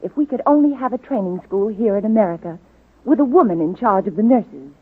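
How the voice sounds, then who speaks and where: Casting: resolute charity campaigner, slightly rough husky tone, earnest persuasion, 1940s radio drama on narrow-band recording. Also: female voice, mid-range voice, animated, fairly quick. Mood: earnest, persuasive. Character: earnest, determined woman charity reformer. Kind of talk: 1930s-40s radio drama acting. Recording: tinny, muffled old radio recording.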